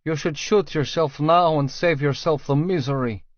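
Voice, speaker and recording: A man's voice: fairly high pitch, moderate pace, no room sound, voice pushed up, close to an everyday voice. A man voicing a grumpy, scoffing male Russian gangster in an audiobook, scornful and dismissive. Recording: dry, slightly dull studio sound.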